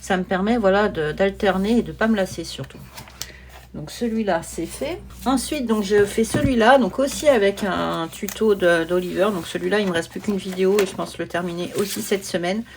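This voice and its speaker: A woman's voice: medium pitch, normal speed. A woman chatting informally and easygoingly as she presents a hobby vlog from her desk. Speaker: hobby vlogger presenting her coloring projects. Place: at a desk at home. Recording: noisy home recording with clicks.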